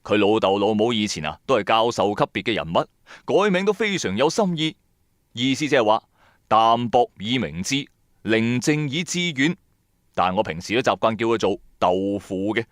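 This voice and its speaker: A man with a Cantonese accent narrating a supernatural tale in the first person, dramatic, colloquial and expressive. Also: fairly low pitch, normal speed, no echo, studio quality. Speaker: storyteller narrator.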